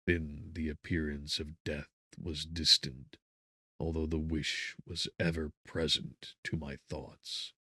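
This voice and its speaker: Guy doing a tormented gothic gentleman narrator, really low voice, dry close sound, brooding and theatrical, hushed then swelling. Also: level tone, slightly rough, no echo, exaggerated voice. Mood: anguished. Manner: theatrical, swelling from hushed to forceful, with drawn-out words. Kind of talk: dramatic gothic audiobook narration.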